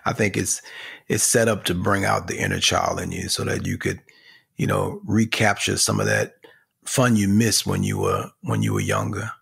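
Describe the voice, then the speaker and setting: Hoarse, gravelly man, lively speech. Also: low-pitched, normal speed. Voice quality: gravelly, husky. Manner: animated, laughs often. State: hoarse from screaming. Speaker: podcast guest, company CEO. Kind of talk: casual conversation.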